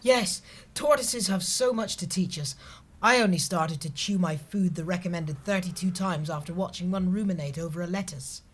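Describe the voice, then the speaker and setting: An actor with a British accent playing an earnest, pedantic, soft-hearted office worker in an audio comedy. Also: male voice, high-pitched, normal speed.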